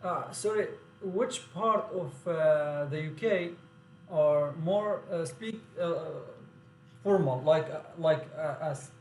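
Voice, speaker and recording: A man who is not a native English speaker asks questions haltingly, with broken grammar and many pauses. His voice is on the higher side for a man. The room sounds echoey, with a faint hum behind him. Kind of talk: casual conversation.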